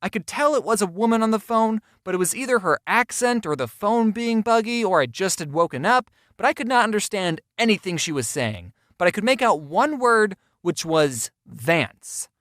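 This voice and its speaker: A woman's voice: medium pitch, lively intonation, moderate pace, hardly any pauses, fairly bright tone, no echo, clean studio sound. A woman telling scripted comedic stories in a self-deprecating way, acting out bits of dialogue as she narrates. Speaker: storyteller narrator.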